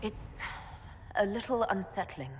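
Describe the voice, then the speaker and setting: A woman's voice: medium pitch, expressive, unhurried, darkish tone, big-room sound, soft background music, lightly acted. An actress playing a curious, wry young elven woman mage in a video game, with a questioning manner. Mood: unsettled. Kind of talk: video game dialogue acting.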